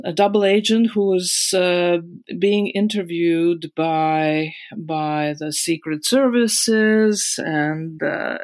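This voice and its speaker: Slow gravelly Latvian-accented woman. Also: lowish voice. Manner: hesitant, many fillers and restarts, laughs softly. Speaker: interview guest. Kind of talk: conversational recollection.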